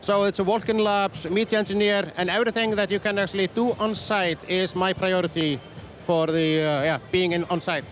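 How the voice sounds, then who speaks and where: Hesitant high-voiced man from Iceland. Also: fairly even intonation, faint music behind. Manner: halting, hesitant. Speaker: network engineer, guest.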